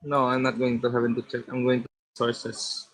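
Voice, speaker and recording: A man's voice: mid-range voice, monotone, moderate pace, a bit bright, dry recording, rough recording. Casual man from Indonesia, debate guest. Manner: casual, says bro a lot.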